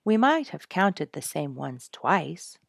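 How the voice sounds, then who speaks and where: A woman voicing a knowing, calm outdoorsman in a storybook, measured, helpful and gently wry. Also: sits low, vocal fry, no room sound, studio quality, exaggerated voice.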